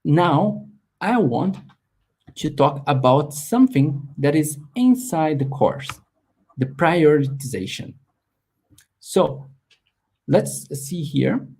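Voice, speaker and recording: A man's voice: on the high side, very animated, takes it slowly, vocal fry, pauses often, darkish tone, slightly rough. Earnest man. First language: Portuguese. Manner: earnest, plain non-native phrasing, some restarts. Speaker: course creator hosting a webinar. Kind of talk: live webinar sales pitch.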